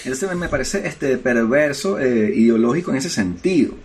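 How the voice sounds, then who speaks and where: A man's voice: medium pitch, lively intonation, moderate pace, noisy background. Brief: Venezuelan man, podcast co-host, argumentative and emphatic, casual. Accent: Venezuelan Spanish. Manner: argumentative, emphatic, frequent filler words. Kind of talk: casual discussion.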